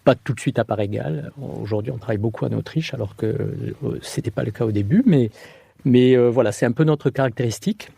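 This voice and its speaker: A man's voice: mid-range voice, brisk, gravelly, dark tone, breathy edge, no room sound. A middle-aged man from the southwest, an architect answering interview questions hesitantly, with many fillers and restarts. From France. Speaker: architect guest.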